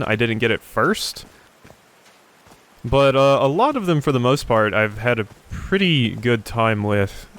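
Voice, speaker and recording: A man hosts a casual video game playthrough, thinking aloud as he goes. His voice is average in pitch, very clean and smooth. The delivery is informal running commentary. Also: a bit bright. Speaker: let's play host.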